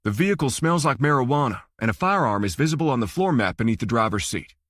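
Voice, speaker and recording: A man's voice: medium pitch, lively intonation, quick pace, fairly bright tone, no room sound, clean studio sound. A man voicing a stern, officious male state trooper in an audiobook thriller.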